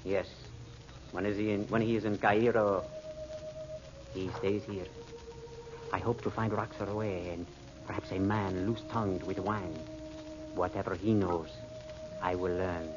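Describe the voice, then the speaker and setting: A proud young desert chief in a vintage radio serial, low in pitch. His speech is formal and guarded, on a muffled old recording. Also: steady tone, a little slow, pauses often. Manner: formal, stately phrasing. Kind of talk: 1940s radio drama acting.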